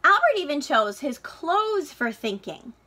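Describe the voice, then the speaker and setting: A woman with a high, very lively voice reads a children's storybook aloud in the manner of a teacher. Her tone is bright and clear-cut, and she leans on important words, stretching them out for young listeners. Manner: expressive, deliberate emphasis with drawn-out key words. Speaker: teacher reading a picture book aloud.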